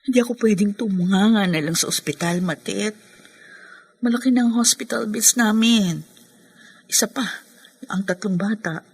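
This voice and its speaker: A woman's voice: mid-range voice, moderate pace, pauses often, husky. A Filipino woman playing a weary, devoted young mother and wife in an emotional, pleading radio drama style. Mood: worried, resolute. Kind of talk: Tagalog radio drama acting.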